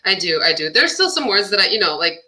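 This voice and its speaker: Bright, chatty young woman musician. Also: mid-range voice, very animated, fast talker. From born in Albania, raised near Boston. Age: young adult. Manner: chatty, fillers of "like", laughs often. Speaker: musician guest. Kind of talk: casual interview chat.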